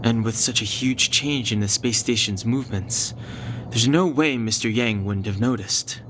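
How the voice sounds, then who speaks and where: A man's voice: medium pitch, normal speed, background noise, lightly acted. An actor playing a sober, cautious young male space traveler in a video game cutscene. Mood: wary, serious. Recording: loud music bed underneath.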